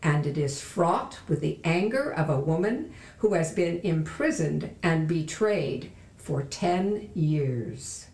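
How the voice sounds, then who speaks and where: Slow, expressive woman presenter, echoey room. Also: low-pitched, recorded from a distance. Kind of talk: scripted introduction, dramatic narration. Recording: echoey room, away from microphone.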